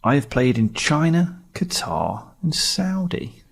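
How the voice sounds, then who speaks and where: A man's voice: on the high side, expressive, takes it slowly, gravelly, slightly rough. A man co-hosting a football podcast, trading banter and quiz clues in casual chat.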